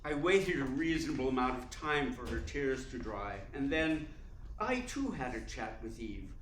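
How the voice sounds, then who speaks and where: An urbane, cunning newspaper columnist, played by a man in a radio drama, narrating and probing with deliberate formality. His voice sits at a middle pitch in a roomy echo, with faint noise behind it. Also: big pitch swings, fairly bright tone. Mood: arch, intrigued. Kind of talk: radio play narration and dialogue.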